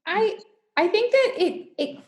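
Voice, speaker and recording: A woman's voice: very high-pitched, lively intonation, measured pace, darkish tone. A woman in casual discussion as a podcast guest, speaking hesitantly as she searches for her words. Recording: echoey, roomy pickup.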